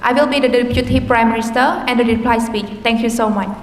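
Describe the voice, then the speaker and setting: A woman, a student debater introducing herself in a brisk, formal way. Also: on the high side, heavy background noise. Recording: echoey hall with long reverb.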